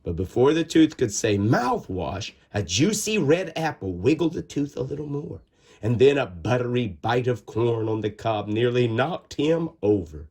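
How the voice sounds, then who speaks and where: A man reading a children's storybook aloud in an expressive, dramatic way with drawn-out words and pauses. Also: mid-range voice, breathy edge. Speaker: storybook reader. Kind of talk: animated children's read-aloud narration.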